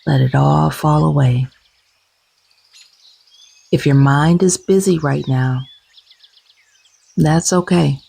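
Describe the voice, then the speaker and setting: A woman leading a guided meditation gently and soothingly, with soft, breathy, husky tones and long unhurried pauses. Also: deep voice, fairly even intonation.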